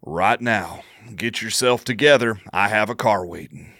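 A man acting as a no-nonsense vampire prince's messenger in an audio drama. He is curt and formal, with a sharp, forceful tone and a dry close sound. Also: fairly low pitch, measured pace, no echo. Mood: curt, insistent. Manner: formal, brusque.

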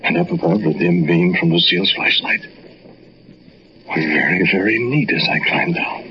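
A man's voice: sits low, moderate pace, close to an everyday voice. Man playing a scheming villain in noisy old radio drama. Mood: hushed, tense. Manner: theatrical, switching between pleading and hushed inner narration. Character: scheming, duplicitous man feigning innocence and friendship. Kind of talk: 1940s radio acting. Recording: noisy, muffled 1940s radio broadcast.

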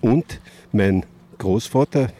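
An older man from Austria with an Austrian accent, reminiscing in an interview and haltingly searching for words. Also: fairly low pitch, mellow, a little hiss or hum. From Austria (Carinthia). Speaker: interview guest, boat rental operator. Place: lakeshore, outdoors.

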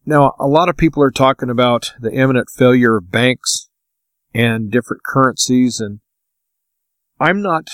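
Male podcast host, mid-range pitch, dry recording, informal monologue, plainspoken, deliberate phrasing, practical advice. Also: no room sound.

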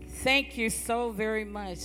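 A woman with a strong, loud voice, preaching and praying in a church sanctuary with a fervent, rhythmic cadence. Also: fairly high pitch, takes it slowly, music in the background. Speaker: guest preacher. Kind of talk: church sermon and prayer. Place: church sanctuary before a congregation.

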